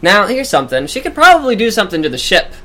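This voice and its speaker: A man with a high-pitched voice co-hosting a casual fan discussion, animated and laughing often. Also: quick pace. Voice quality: high-pitched for his age. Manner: animated, laughs often, echoes his co-host. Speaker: podcast co-host.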